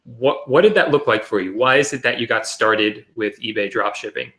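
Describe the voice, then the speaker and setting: A man's voice: mid-range voice, normal speed, a bit bright. A man hosting an interview, speaking fluently in an upbeat presenter style. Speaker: podcast host.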